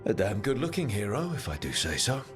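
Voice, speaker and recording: Over game music, a low-pitched young male hero speaks. He is a rebel assassin mage, confident and kind, with a wry self-assurance suited to reflective fantasy game monologue. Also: very animated, a little fast. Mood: proud, wry.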